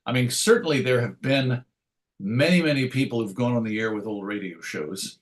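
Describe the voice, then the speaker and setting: A man's voice: medium pitch, moderate pace. Thoughtful, anecdotal man in conversation. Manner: thoughtful, anecdotal, illustrates points with quoted asides. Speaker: interview guest, radio show host. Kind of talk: conversational interview.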